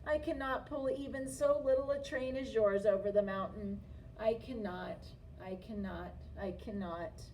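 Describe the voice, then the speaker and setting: A woman's voice: medium pitch, a little slow, a little mellow, voice pushed down, close to an everyday voice. Woman as a sighing, worn-out old engine, far-off mic. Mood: weary, defeated. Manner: sighing, defeated, repeating itself. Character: weary, rusty old male steam engine. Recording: distant mic, faint noise.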